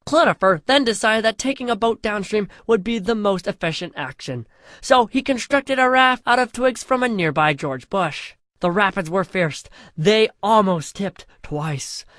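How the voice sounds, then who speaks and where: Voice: medium pitch, animated, moderate pace, fairly bright tone, dry recording, clean studio sound. A storyteller reading a scripted comic fantasy parody in a mock-epic way, drawing out the chapter headings.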